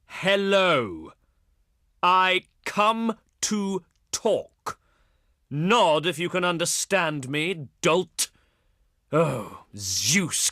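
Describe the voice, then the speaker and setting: A man's voice: sits high, bright, forceful tone, no echo, studio quality, full character voice. A male actor voicing a haughty, eloquent ancient Greek diplomat in a video game, with formal, declamatory and pompous delivery. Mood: contemptuous, weary. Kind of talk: theatrical game character acting, formal archaic speech.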